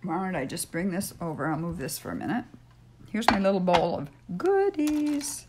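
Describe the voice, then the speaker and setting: An older woman with a raspy voice hosting a casual polymer clay tutorial from her home craft room, chatting and thinking aloud with frequent ums as she works, recorded on a fixed camera mic. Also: fairly low pitch, animated, normal speed, background noise. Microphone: stationary camera mic.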